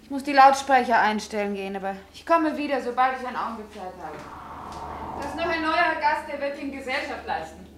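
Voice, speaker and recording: An actress playing a busy, obliging young tavern waitress in a German radio drama. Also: female voice, high-pitched, animated, quick pace, reverberant, distant mic, slight background noise. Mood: hurried, friendly.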